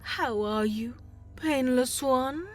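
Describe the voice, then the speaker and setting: A woman's voice: high voice, soft background music. A woman playing a sadistic, theatrical alien warlord in an audio drama, with a purring, menacing delivery. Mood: gloating, seductive. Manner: languid, purring, drawn-out delivery with menacing relish.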